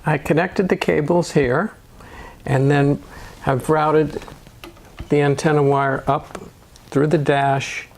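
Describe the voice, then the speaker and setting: Slow-paced man giving how-to instructions. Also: on the high side, steady tone, creaky, slight background noise. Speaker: motorcycle DIY video host. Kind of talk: step-by-step instructional narration.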